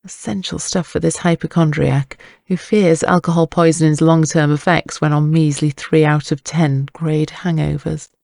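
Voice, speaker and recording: A male narrator tells a story in a wry, scripted style. His voice is mellow and soft-edged with a gravelly fry, recorded very dry. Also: fairly high pitch, level tone, normal speed, hardly any pauses, no echo.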